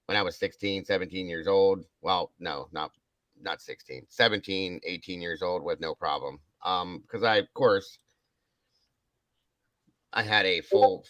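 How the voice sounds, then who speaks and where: A man's voice: low-pitched, a bit bright, no room sound. A middle-aged man hosting a live stream in casual, chatty hangout style.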